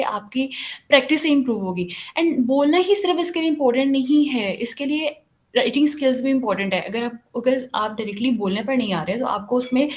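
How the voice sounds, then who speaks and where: A woman giving an online English class, mixing Urdu and English with frequent fillers. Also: sits high. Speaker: English teacher.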